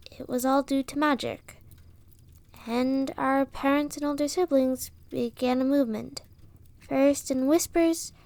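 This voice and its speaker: A woman playing an earnest, sorrowful young villager and rebel in a fantasy audio roleplay, speaking with dramatic pauses. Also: sits high, darkish tone, dry recording. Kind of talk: audio roleplay acting, storytelling dialogue.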